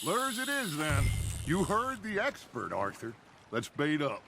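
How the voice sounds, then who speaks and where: A man's voice: on the high side, huge pitch swings, unhurried, heavily acted. An actor playing a genial older outlaw gang leader in a video game, playfully grand and fond of needling his friends. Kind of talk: video game character acting. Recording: clear water and boat noise behind.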